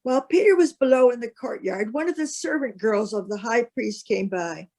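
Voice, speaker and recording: Higher-voiced woman reading scripture solemnly. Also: moderate pace, a little mellow. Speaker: narrator, scripture reader.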